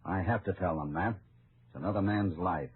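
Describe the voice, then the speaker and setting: An actor playing a calm, steely cowboy lawman in an old American radio western, speaking in a measured, firm, grave way. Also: low voice, breathy edge. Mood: grave, regretful. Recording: muffled, narrow-band old radio recording.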